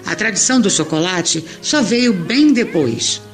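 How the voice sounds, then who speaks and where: Brazilian woman narrator over soft music. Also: medium pitch, expressive, a little slow. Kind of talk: scripted storytelling narration.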